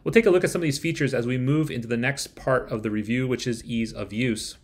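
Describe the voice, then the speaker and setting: A man's voice: mid-range voice, quick pace. A man in his forties presenting a scripted review to camera, with wry rhetorical asides. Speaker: software reviewer. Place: small room at home, indoors.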